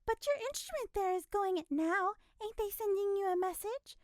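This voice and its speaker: A woman reading aloud puts on a meddling, haughty lady from an old storybook. The voice jumps far above her normal pitch and gets loud and piercing. Every question comes out sharp and incredulous, recorded close and dry. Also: expressive, moderate pace, dry recording. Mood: prying. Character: prying, haughty woman with the big nose.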